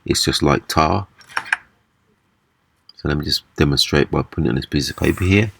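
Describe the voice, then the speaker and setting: A man giving a casual, hands-on repair demonstration, explaining haltingly step by step. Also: very deep voice, gravelly, noisy background. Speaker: repair video presenter. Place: small indoor room at a table.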